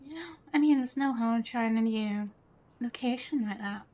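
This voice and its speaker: A woman's voice: on the high side, unhurried, a little mellow. A woman game streamer giving casual live reactions to gameplay.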